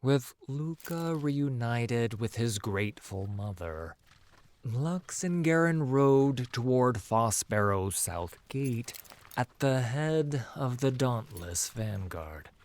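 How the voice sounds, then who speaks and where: A man narrating a fantasy audio drama in a solemn, measured, dramatic storytelling manner, letting phrase endings drop to a hush. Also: mid-range voice, animated.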